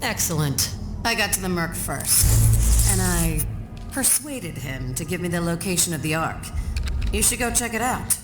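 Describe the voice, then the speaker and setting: A low-pitched, shrewd woman leader from a post-apocalyptic game. She talks in a brisk, streetwise, commanding way. The sound is roomy and echoing, with music behind. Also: lively intonation. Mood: brisk, scheming. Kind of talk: video game cutscene acting.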